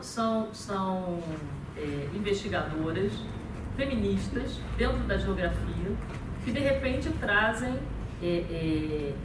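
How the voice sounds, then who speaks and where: A woman with a Brazilian accent speaks at a meeting, thinking aloud and restarting phrases. She sounds far from the mic in an echoey room, with steady background noise. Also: mid-range voice. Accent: Brazilian Portuguese. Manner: thoughtful, many hesitant fillers and restarts. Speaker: conference speaker. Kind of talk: academic talk at a meeting.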